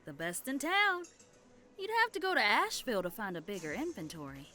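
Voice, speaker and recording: A woman's voice: mid-range voice, big pitch swings, fairly quick, bright, forceful tone. A woman playing a poised, affectionate wife and mother who keeps a bookshop, with dry humour, in an audio drama. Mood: helpful.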